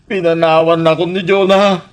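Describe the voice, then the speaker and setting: A man's voice: fairly high pitch, level tone, normal speed, lightly acted. A man with an Ilocano Filipino accent playing a sheepish, pleading young womanizer in a radio comedy drama. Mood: dejected, regretful. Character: sheepish, pleading womanizer dumped by his girlfriend.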